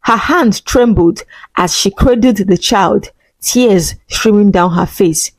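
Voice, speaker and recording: A woman's voice: medium pitch, slow, slightly rough, dry recording. Woman narrating, close and very dry. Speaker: story narrator. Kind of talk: scripted dramatic narration.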